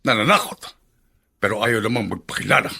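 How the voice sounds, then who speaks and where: An actor playing an irritable, suspicious middle-aged congressman and father in a Filipino radio drama, with a rough voice and forceful manner. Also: fairly low pitch, fairly quick, full character voice. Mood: annoyed. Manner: forceful, demanding.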